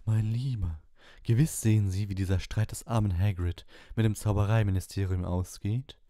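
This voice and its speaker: A man's voice: lowish voice, steady tone, normal speed, dark tone, no room sound, clean studio sound, pitched above the performer's own voice, close to an everyday voice. Guy putting on an airy older lady fortune-telling teacher, cooing and coaxing, voice pushed up, audiobook style. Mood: eager, probing. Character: dreamy, airy older female divination teacher.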